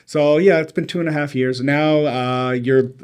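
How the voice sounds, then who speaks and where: A man's voice: on the high side, fairly even intonation, moderate pace, a little mellow, clean tone. A man hosting a casual podcast interview, chatty and loose, with many fillers and restarts. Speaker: podcast host.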